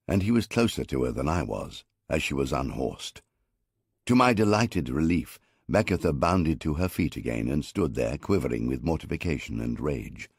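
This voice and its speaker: A man with a very deep, gravelly voice reads an audiobook in the first person. His delivery is measured and wry, with lively rises and falls in pitch, recorded dry and close with a husky edge. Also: no room sound. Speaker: audiobook narrator.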